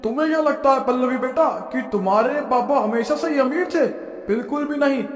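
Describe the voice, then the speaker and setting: A man's voice: very high voice, brisk. A man voicing a principled, wealthy middle-aged father in a cartoon story, speaking earnestly and moralizing. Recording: echoey room with long reverb.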